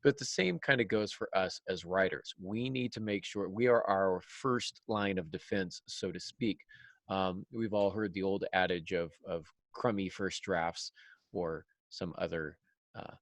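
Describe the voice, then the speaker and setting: Male podcast host, deep with vocal fry, hesitant conversational delivery, dry recording. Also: a little slow, no room sound. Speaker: podcast host, writing teacher. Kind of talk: casual panel discussion.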